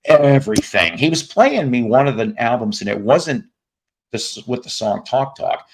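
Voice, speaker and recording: A man with a raspy, hoarse voice hosting a music podcast, joking and rambling through tangents in casual chat. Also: medium pitch, big pitch swings, moderate pace. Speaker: podcast host.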